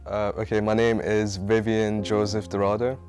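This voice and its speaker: Give me a relaxed twenty-one-year-old man with a flat voice over music. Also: fairly low pitch, normal speed. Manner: relaxed, filler-heavy. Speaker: engineering student.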